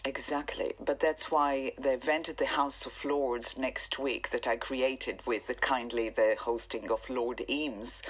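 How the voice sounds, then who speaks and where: Low husky woman with Israeli accent. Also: poor audio. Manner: earnest, enthusiastic, halting with fillers. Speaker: singer and composer, guest. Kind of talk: radio interview conversation.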